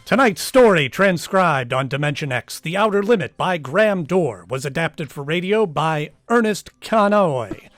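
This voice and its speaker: A man's voice: a little high, animated, unhurried, few pauses, a bit bright, dry recording. A man announcing as host of a radio drama, reading scripted credits and sign-offs in old-time programme style.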